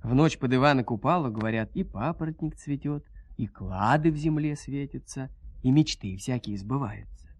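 A man's voice: mid-range voice, normal speed, dry recording. Folksy male storyteller, dry studio sound. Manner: folksy, theatrical, rhythmic phrasing. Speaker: narrator. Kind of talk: expressive fairy-tale storytelling for children. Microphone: close studio microphone.

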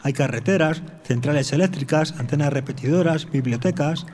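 A man's voice: a little high, normal speed, darkish tone, a little hiss or hum, lightly acted. A man playing a bewildered but logical tech worker in an audio drama, explaining things as he reasons aloud. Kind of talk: amateur audio drama acting.

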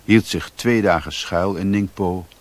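An actor as the reflective narrator of a sea tale, reading literary prose in a radio play. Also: male voice, low voice, measured pace. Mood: measured narration. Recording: faintly noisy old radio recording.

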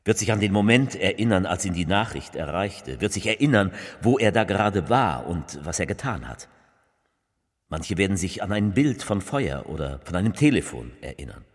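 A solemn political orator in a stage-like spoken drama, with a husky voice that swings widely in pitch. He declaims deliberately, and the sound rings with long hall reverb. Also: big pitch swings, exaggerated voice. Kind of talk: theatrical recitation of collaged speeches.

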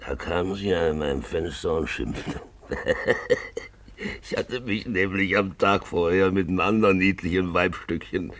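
An actor playing a boozy, jovial, lecherous gentleman in a 1950s German radio drama, gravelly and theatrical, going from grumbling mumbles to loud wheedling cries. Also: low voice. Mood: amused, confiding. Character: boozy, roguish Russian gentleman found alive, jovial lecher.

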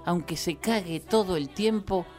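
A woman's voice: lowish voice, a little slow. Mock-solemn woman narrator over music. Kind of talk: scripted narration of a satirical radio drama.

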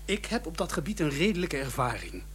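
A man's voice: on the high side, very animated, a little fast, fairly bright tone, breathy edge, exaggerated voice. A man acting a pompous, self-assured village vicar with little patience, firm and formal, in a radio drama.

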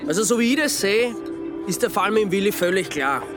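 An actor playing a flippant, sardonic amateur sleuth in a radio drama, with an Austrian accent and a deadpan manner. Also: male voice, high voice, fairly quick, music in the background, a crowd in the background. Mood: deadpan, mocking. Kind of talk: naturalistic radio drama dialogue.

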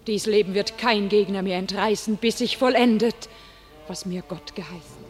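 A woman's voice: medium pitch, normal speed, close to an everyday voice. A woman playing a young female warrior prophet, declaiming solemn verse in a classic radio play, sometimes dropping to a whisper. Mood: defiant. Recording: radio drama with faint music.

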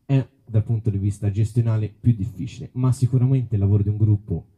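A man's voice: lowish voice, steady tone, fast, warm tone, breathy edge. A man speaking informally and reflectively as an author presenting his book at a live event.

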